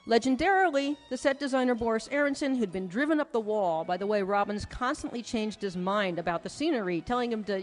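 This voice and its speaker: Woman lecturing in a reverberant hall. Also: fairly high pitch. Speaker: lecturer, author presenting research. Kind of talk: prepared lecture read aloud to an audience. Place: large hall at a conference. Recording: reverberant hall, music playing behind. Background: music.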